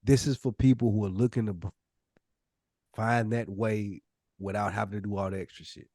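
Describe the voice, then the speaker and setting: A man's voice: fairly low pitch, steady tone, normal speed, a little mellow, no room sound. A man speaking casually on a podcast panel in a blunt, matter-of-fact way, swearing freely. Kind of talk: casual chat.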